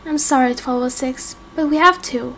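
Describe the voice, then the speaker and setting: A woman playing a calm, regretful female captor in a game roleplay, subdued, measured and ominous. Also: sits high. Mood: cold, regretful. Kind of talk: amateur game roleplay acting.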